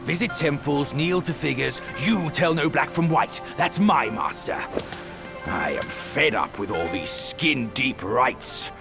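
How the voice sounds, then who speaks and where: A man's voice: mid-range voice, lively intonation, slow pace, gravelly, over music. An actor playing a brash, grumbling, boastful pig-man warrior in a video game cutscene. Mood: scornful, grumbling.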